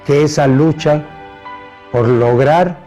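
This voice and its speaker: A man's voice: fairly high pitch, slow, warm tone, faint music behind. A man, giving reflective personal testimony. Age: 70s. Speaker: interviewee.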